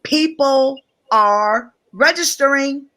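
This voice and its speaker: A woman who sounds a little hoarse, speaking energetically on a live stream, greeting viewers and repeating herself with excitement. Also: high-pitched, slow. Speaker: guest business coach. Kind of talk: live-stream promotional talk.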